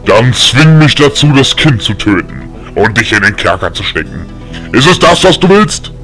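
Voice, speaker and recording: A man's voice: mid-range voice, sing-song, moderate pace, a bit bright, faint music behind. A man voicing a cruel, menacing tyrant with a harsh, grating voice in an audiobook. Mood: threatening. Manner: commanding, menacing. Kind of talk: audiobook villain acting.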